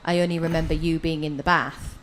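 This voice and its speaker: A woman's voice: deep voice, little pitch movement, background noise. A woman co-hosting a podcast in casual chat, teasing her co-host and asking probing questions. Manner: teasing, questioning, quick quips.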